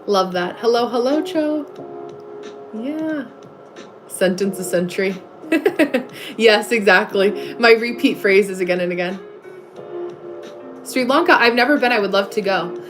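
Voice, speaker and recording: A woman's voice: medium pitch, animated, measured pace, long pauses. A woman hosting a livestream in a casual, chatty way, replying to comments and breaking off to greet viewers. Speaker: livestream host. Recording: music playing behind the voice. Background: music.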